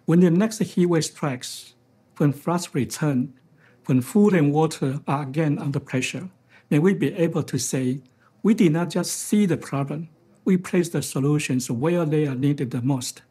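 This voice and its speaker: A very husky, rough-edged male voice with a Taiwanese accent, pitched a little high. He delivers a formal keynote as an executive would, phrasing each point deliberately. The tone is on the mellow side. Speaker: financial executive giving a keynote speech. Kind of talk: prepared formal conference speech.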